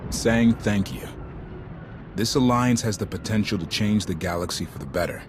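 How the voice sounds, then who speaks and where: A man playing a loyal, easygoing, roguish starship pilot in a video game, speaking in a casual, expressive way. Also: lowish voice, normal speed, background noise, natural voice. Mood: sincere, wry. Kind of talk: video game drama acting.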